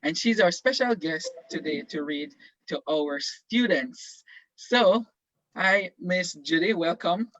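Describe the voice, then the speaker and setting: A man's voice: sits high, expressive, takes it slowly, fairly bright tone, no echo, low-quality recording. A man casually hosting an online event as he introduces guests. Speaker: host. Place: online video call.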